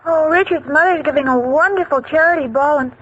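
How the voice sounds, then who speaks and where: A woman's voice: high-pitched, brisk, a bit bright. An actress playing a sweet, scatterbrained young woman, with a wide-eyed, wheedling delivery in 1940s radio sitcom style. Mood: pleading. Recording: dull, hissy 1940s radio broadcast recording.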